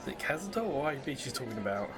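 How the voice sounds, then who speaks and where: A man's voice: mid-range voice, sing-song, very fast, crowd noise, background noise. A man hosting a video game playthrough, commenting casually in a musing, conversational way. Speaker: gaming YouTuber host. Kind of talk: casual let's-play commentary.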